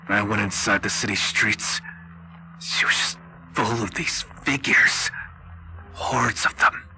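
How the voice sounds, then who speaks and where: A man voicing a weary, haunted war-veteran survivor in a halting, grave, half-whispered game roleplay monologue. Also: fairly low pitch, sharp tone, pitched below the performer's own voice, full character voice, poor audio. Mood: grim, tense. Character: haunted, weary male war-veteran survivor recording his account on tape. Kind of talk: slow dramatic post-apocalyptic horror game roleplay monologue.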